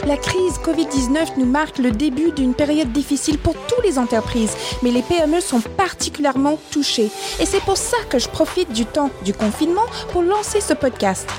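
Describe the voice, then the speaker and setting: Upbeat high-voiced host with English-speaking accent. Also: female voice, expressive, normal speed, few pauses, music in the background. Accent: English-speaking accent in French. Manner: upbeat, chatty, slightly scripted. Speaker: podcast host, business founder. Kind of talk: podcast talk and interview.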